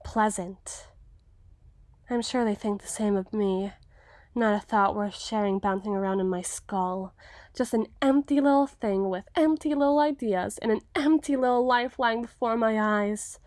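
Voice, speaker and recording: A woman's voice: mid-range voice, unhurried, darkish tone, no echo, clean studio sound, close to an everyday voice. A woman playing a young, melancholy, self-doubting storybook damsel in an ASMR fantasy roleplay, with theatrical, hesitant, old-fashioned formal phrasing. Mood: gloomy self-pity.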